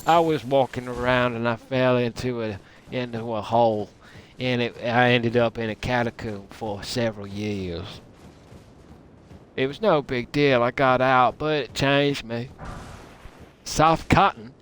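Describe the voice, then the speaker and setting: Slow-drawling man, put-on thick Texan accent. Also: mid-range voice, fairly bright tone. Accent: put-on thick Southern US, Texan drawl. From United Kingdom. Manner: putting on a hammy, folksy drawl, joking. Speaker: game livestreamer. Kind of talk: playful rambling game stream commentary. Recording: noisy, game battle sounds behind.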